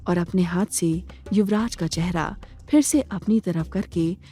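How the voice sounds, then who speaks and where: A woman narrating a scripted audio drama in a measured, dramatic storytelling manner. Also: lowish voice, mellow, breathy edge.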